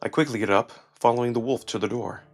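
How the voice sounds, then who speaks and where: A man's voice: medium pitch, steady tone, fast, faint music behind. A man giving a dramatic scripted narration of a visual novel as narrator and character performer.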